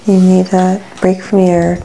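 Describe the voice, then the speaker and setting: A woman's voice: lowish voice, level tone, unhurried, creaky, mellow, a little hiss or hum, rough recording. A woman giving brief, casual replies as the searched traveler in an ASMR role-play.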